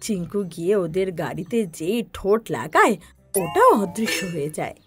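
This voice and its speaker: A woman's voice: fairly low pitch, expressive, moderate pace, over music. A woman reading scripted narration for a children's story.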